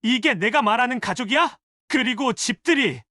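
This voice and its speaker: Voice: mid-range voice, animated, bright, forceful tone, no room sound, clean studio sound. An actor playing an indignant adult son scolding a spouse with pointed, accusatory questions in a dramatized family story. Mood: angry, accusing. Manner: accusatory, rapid rhetorical questioning. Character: indignant adult son scolding his wife over his mother.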